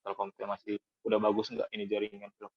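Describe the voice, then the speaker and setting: A man's voice: fairly low pitch, completely flat delivery, fast, dry recording. A man chatting in an easygoing way as a podcast guest over a video call. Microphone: video call audio. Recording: dull lo-fi call audio, dry.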